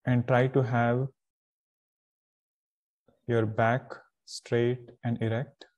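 Slow, mellow man guiding meditation. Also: mid-range voice. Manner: calm, deliberate, pausing between short phrases. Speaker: spiritual teacher leading guided meditation. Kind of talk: guided meditation instruction.

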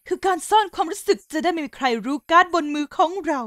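A woman's voice: very high-pitched, fast talker, fairly bright tone, no room sound, clean studio sound. A woman voicing a foul-mouthed cartoon rock mom. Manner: swears freely, snaps impatiently. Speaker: mother hosting a poker game. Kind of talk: Thai fan dub of a cartoon.

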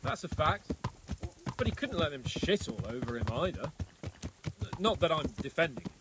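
A cocky, talkative young nobleman in a video game, breezy and wry, his voice sitting a little high. He gossips with easy charm, and horse hooves clatter clearly behind him in the game audio. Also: big pitch swings, normal speed, very noisy. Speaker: game character. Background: horse hooves clip-clopping.

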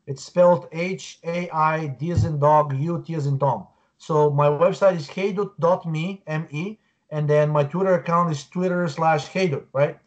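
A man's voice: on the high side, steady tone, normal speed. A man in his forties from Bulgaria, a guest on a video call, explaining earnestly with frequent restarts. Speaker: guest researcher. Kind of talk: interview over video call. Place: home room, indoors. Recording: dull lo-fi call audio.

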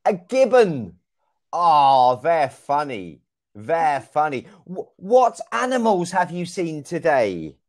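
A young man from England, teaching a young child over a video call in slow, clear, encouraging speech full of exclamations. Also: fairly high pitch. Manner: slow, clear and lively, often exclaims and laughs. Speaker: online English teacher. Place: small room indoors. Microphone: video call with wired earphone mic.

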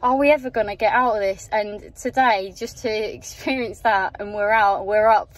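A woman's voice: mid-range voice, fairly even intonation, moderate pace. Teary young woman in a car. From England, outside Yorkshire. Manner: emotional, gushing, voice wavering with tears. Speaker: football fan. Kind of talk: emotional vlog to camera. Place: inside a parked car at night. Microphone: phone camera held at arm's length.

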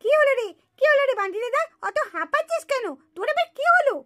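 A woman's voice: very high-pitched, lively intonation, quick pace, a bit bright. A woman voicing an emphatic, scolding village female in a puppet family drama. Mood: excited, curious. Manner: emphatic, scolding, repeats words in protest. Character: high-pitched village women and girls in a puppet family drama. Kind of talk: dramatized Bengali puppet-show dialogue.

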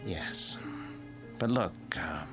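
A jittery caretaker with a secret, stammering and evasive, his low voice swinging widely in pitch. He grows defensive under pressure. Music runs under this vintage TV drama soundtrack. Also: animated, very slow, exaggerated voice. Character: nervous, secretive middle-aged man hiding something. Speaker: wax museum caretaker. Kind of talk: 1960s TV drama acting.

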